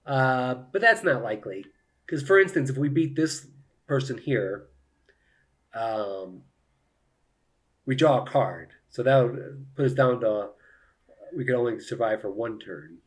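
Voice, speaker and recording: A man's voice: medium pitch, expressive, unhurried, long pauses. A man giving casual live commentary on a solo board game, thinking aloud and explaining each move to viewers.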